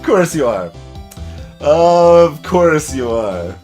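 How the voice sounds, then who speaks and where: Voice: mid-range voice, big pitch swings, slow, a little mellow, faint music behind, voice pushed down. A performer voicing a young male lawyer from a video game, polite, hesitant and dryly wry. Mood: dry, unsure. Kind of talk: video game character voice-over.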